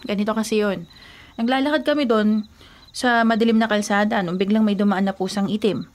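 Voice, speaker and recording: An actress playing an exasperated, teasing younger sister in a Filipino radio drama, scoffing at old superstitions in a sassy, conversational way. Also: female voice, mid-range voice, steady tone, a little fast, lightly acted. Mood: matter-of-fact.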